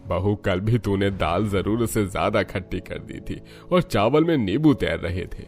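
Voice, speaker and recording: A man's voice: on the low side, measured pace, a little mellow, faint music behind. An actor playing an older father-in-law, a hearty food lover in a cartoon story, speaking emphatically. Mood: complaining.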